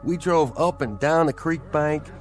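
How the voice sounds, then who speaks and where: A man's voice: on the high side, measured pace, soft background music, lightly acted. An actor playing an army lieutenant reporting to his captain in a radio drama, hesitant and deferential. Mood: uneasy.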